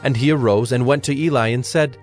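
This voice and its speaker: A male narrator reads a scripture story. His medium-pitched voice is measured and solemn. Faint music sits behind the narration.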